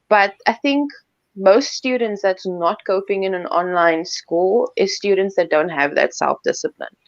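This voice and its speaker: A South African woman whose first language is Afrikaans, explaining school policy in a relaxed, conversational way during a live online Q&A. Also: on the low side, no echo, dull audio. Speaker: school staff member.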